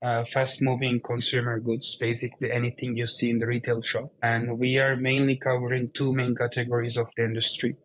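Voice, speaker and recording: Turkish-accented man speaking in a flat, even tone. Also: medium pitch, brisk, hardly any pauses, slight background noise, low-quality recording. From Turkey. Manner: explains plainly in non-native English. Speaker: guest, company CEO. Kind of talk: casual interview conversation.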